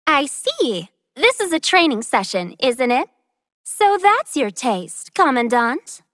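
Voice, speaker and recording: Very high-voiced playful young artist girl. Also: very animated, takes it slowly, a bit bright, no room sound, clean studio sound, exaggerated voice. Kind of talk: video game character voice lines.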